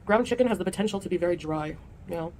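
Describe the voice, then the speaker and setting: A woman's voice: on the low side, very fast, darkish tone. A woman food vlogger talking casually to her viewers. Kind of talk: casual vlog talk. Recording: clearly noisy played-back vlog audio.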